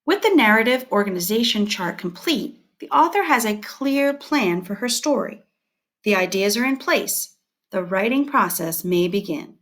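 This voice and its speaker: A woman teacher reading scripted instructional material aloud, setting out headings and short points in a measured, deliberate way. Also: medium pitch, expressive, a bit bright.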